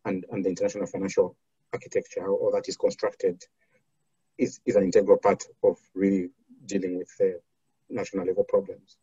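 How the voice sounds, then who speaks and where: A man from Zambia, a foundation program officer, answering interview questions in a measured way with many fillers and restarts. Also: sits low, little pitch movement, dark tone, slightly rough, dry recording, highs cut off.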